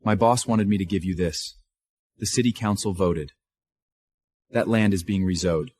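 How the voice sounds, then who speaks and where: A man with a husky voice acting a calm, menacing underworld figure in a drama. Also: deep voice, moderate pace. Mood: businesslike.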